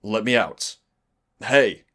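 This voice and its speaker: Deep, slow-talking teasing demon played by a man. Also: animated, a bit bright. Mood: panicked, indignant. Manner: sardonic, teasing, drawling. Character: cocky, teasing male demon, exasperated but fond mentor. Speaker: voice actor in audio roleplay. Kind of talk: scripted roleplay audio acting.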